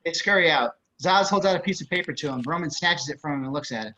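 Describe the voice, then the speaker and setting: Guy reading screenplay directions, highish bright voice, slightly muffled call audio. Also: quick pace. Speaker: narrator of stage directions. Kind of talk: scripted narration at amateur table read. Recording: dull video-call audio.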